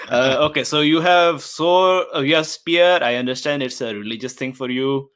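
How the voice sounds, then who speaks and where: A man's voice: medium pitch, a little slow, a bit bright, clear, resonant tone. A man playing a tabletop game, slipping casually between chat and in-character lines in a playful, informal way.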